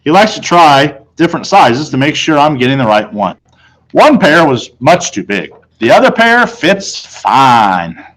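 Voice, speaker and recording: A man's voice: on the high side, sing-song, measured pace, a bit bright, rough recording. A man reads a children's novel aloud as first-person storytelling, in the role of a teacher.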